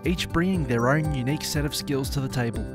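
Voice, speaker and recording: Guy narrating a promo video, steady and measured, guitar music behind him. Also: medium pitch. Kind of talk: scripted narration.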